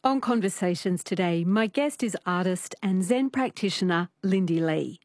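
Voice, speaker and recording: A woman hosting a radio interview, asking calm, measured questions. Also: on the low side, no room sound, clean studio sound. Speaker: interview host.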